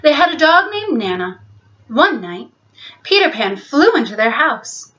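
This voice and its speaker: Woman reading kids bedtime story, expressive, bright high voice, recording a bit muffled. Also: a little slow. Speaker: children's storyteller. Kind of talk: scripted bedtime story narration.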